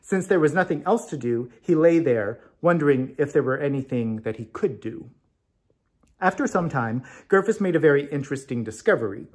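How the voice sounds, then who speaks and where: Male story reader, husky tone, very lively pitch, expressive scripted narration. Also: normal speed.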